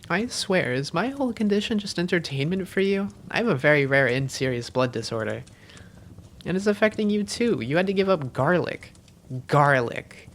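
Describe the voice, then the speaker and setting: Woman playing a low, creaky-voiced vampire girlfriend. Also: expressive, moderate pace, slight background noise. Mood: mock indignant.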